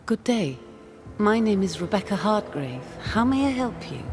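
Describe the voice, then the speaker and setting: A woman's voice: mid-range voice, sing-song, normal speed, over music, natural voice. An actress playing the wistful, lovelorn ghost of a woman in a dramatic video game. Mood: puzzled, polite.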